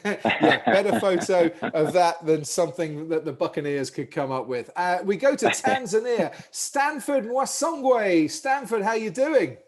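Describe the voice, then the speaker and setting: A man's voice: sits high, animated, unhurried, few pauses. A man hosting a podcast with remote guests, upbeat and jokey, in broadcaster patter over a video call. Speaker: podcast host.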